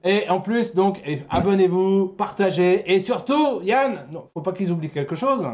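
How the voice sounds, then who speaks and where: A man's voice: high-pitched, moderate pace, a little mellow, highs cut off. A man hosting a casual anime news web show, with jokey, teasing banter. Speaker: host.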